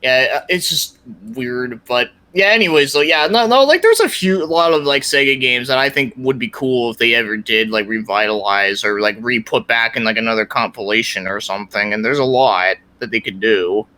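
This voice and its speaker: A man's voice: mid-range voice, moderate pace, hardly any pauses, sharp tone. A man speaking as a podcast guest in casual, informal conversation about video games, rambling a little.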